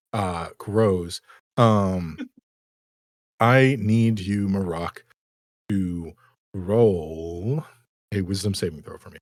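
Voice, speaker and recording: A man running a tabletop game as dungeon master, halting and apologetic, laughing often. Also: fairly low pitch, dry recording, studio quality.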